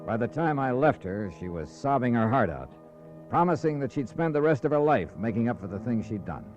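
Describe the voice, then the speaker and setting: A man's voice: mid-range voice, big pitch swings, a little fast, natural voice. An American man playing a tough, wry insurance investigator in 1950s radio drama, with clipped, slangy delivery. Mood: narrating. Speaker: lead actor and narrator. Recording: dull vintage radio recording with music.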